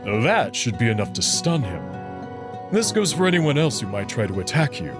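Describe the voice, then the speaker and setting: Confident male alien wolf, acted by a man, teasing yet protective, formal edge, very expressive pitch, visual novel with clear backing music. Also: moderate pace, lightly acted. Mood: instructive, amused. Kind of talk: visual novel character acting. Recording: music behind the voice.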